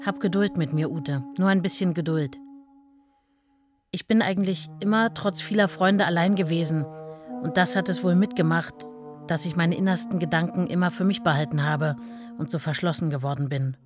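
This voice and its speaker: A woman's voice: fairly low pitch, normal speed, music in the background, close to an everyday voice. An actress playing a devoted, modest young working-class wife telling her story in a radio play. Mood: tender, earnest.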